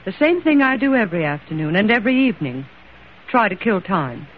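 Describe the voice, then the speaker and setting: A lonely, discontented wife played by a woman in a vintage radio play. She speaks with wounded restraint through a thin, narrow-band broadcast sound with steady background noise. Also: mid-range voice, brisk, close to an everyday voice. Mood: cool, guarded. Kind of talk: old-time radio drama acting. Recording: tinny, noisy old radio recording.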